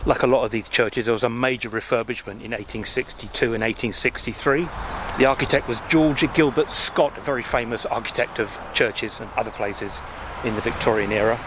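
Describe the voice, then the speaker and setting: A man's voice: mid-range voice, a little fast. A man in his fifties from England, chatting casually and rambling to a handheld camera while walking around a country churchyard. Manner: chatty, rambling, self-deprecating asides. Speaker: travel vlogger. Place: outdoors by a roadside country churchyard. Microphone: handheld selfie camera at arm's length. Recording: traffic noise and wind outdoors. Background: passing traffic and wind.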